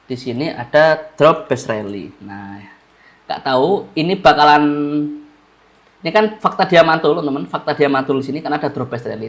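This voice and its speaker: A man with a stuffy, blocked nose, feeling unwell, walking viewers through a chart analysis in a casual way and often repeating key phrases. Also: mid-range voice. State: stuffy-nosed, under the weather. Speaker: trading analyst.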